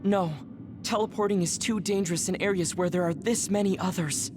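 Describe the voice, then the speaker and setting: A man voicing a composed, rational young squad mate in a video game, speaking in a measured, formal way. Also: sits high, steady tone, background noise, close to an everyday voice. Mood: calm, firm. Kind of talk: English game voice acting. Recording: music under the voice.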